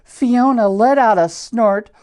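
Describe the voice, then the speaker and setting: An older woman with an American Midwestern accent reads and chats to children as a storyteller, in simple, deliberate wording with questions to her listeners. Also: a little high, darkish tone. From Iowa, United States. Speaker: church storyteller reading picture books to children. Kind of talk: children's read-aloud with chatty asides.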